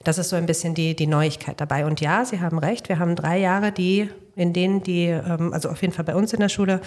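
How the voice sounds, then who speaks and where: A woman's voice: fairly low pitch, fairly even intonation, fast, darkish tone. Woman explaining at length. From Italy. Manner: detailed, explanatory. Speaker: nursing school teacher. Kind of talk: expert interview answers.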